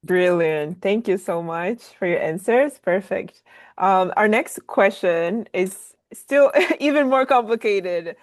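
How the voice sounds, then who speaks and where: A woman's voice: medium pitch, slow. A woman moderates a webinar, polite and upbeat as she reads audience questions aloud. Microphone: video call.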